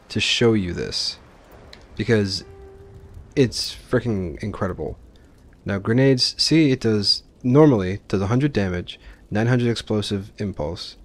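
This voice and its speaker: Lowish-voiced man doing casual game commentary. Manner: informal, explains game choices as he plays. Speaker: gaming video host. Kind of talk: casual let's play commentary.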